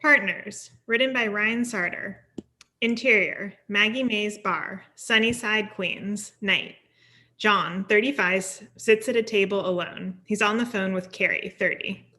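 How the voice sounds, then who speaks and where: A woman's voice: medium pitch, measured pace, sharp tone. A woman reading stage directions as scripted narration for a table read.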